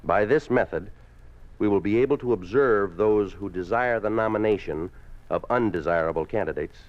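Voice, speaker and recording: Man playing a cold, deep-voiced American party boss. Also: natural voice. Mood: coldly dictating. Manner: clipped, commanding, measured dictation. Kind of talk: 1950s radio drama acting. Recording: muffled, noisy 1950s radio recording.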